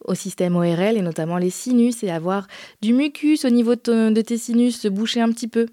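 A woman's voice: on the high side, fairly quick, warm tone, dry recording. Reassuring woman, dry close sound. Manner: friendly, reassuring, explains patiently. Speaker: naturopath guest. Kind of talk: casual explanatory chat.

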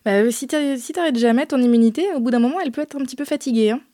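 A woman's voice: on the high side, very fast, warm tone, no room sound, clean studio sound. A woman, a naturopath guest on a radio show, explaining things in a friendly, reassuring and patient way. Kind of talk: casual explanatory chat.

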